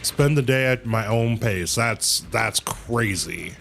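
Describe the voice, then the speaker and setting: A man's voice: mid-range voice, slow pace, creaky, a bit bright, faint music behind. A man chatting casually as he hosts a video game livestream. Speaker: livestream host.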